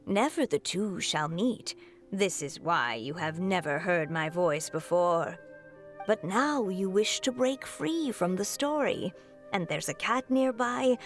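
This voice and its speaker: Slow, murmuring motherly goddess. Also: on the low side. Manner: solemn, tender, breathy murmured delivery. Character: serene, motherly goddess of fate. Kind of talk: scripted game voice acting. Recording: music under the voice.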